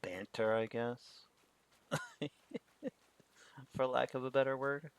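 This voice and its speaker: A man streaming a game, chatting in a relaxed, rambling way. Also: mid-range voice, takes it slowly, smooth. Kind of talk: casual commentary while gaming.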